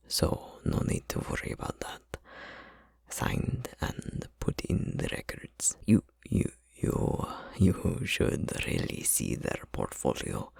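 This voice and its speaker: A man playing an easygoing, chatty tattoo studio employee in an ASMR roleplay, talking in a breathy, husky, casual, rambling way. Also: rumbling low, darkish tone, no echo, heavily acted. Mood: nervous, placating.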